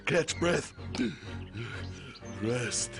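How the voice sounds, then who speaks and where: Breathless, very slow cartoon wolf. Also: male voice, a little high, animated, music in the background. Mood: exhausted. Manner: gasping broken phrases. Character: worn-out big bad wolf, weary and feeble.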